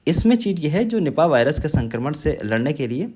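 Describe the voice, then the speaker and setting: A man's voice: fairly high pitch, big pitch swings, fairly quick, darkish tone, breathy edge. A man with a sore, congested throat from a cold, teaching exam material informally and often checking in with short tag questions. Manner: frequent check words like "right?" and "okay?". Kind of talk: informal lecture on current affairs.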